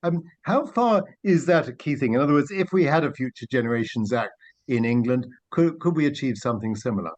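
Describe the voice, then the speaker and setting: A man's voice: mid-range voice, animated, brisk, darkish tone, lo-fi sound. A man chairing a panel discussion, putting questions to the speakers in a polite, inquiring way.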